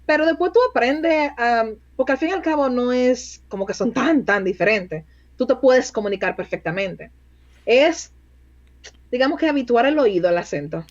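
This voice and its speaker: A lively woman talks casually as an interview guest, laughing often and restarting her sentences. Her voice sits fairly high. The recording sounds dull and muffled. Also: moderate pace. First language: Spanish. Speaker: bilingual recruiter, interview guest.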